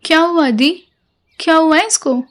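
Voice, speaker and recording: A woman's voice: extremely high pitch, unhurried, noisy background. A woman playing a childlike, puzzled young wife in an audio drama. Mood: confused.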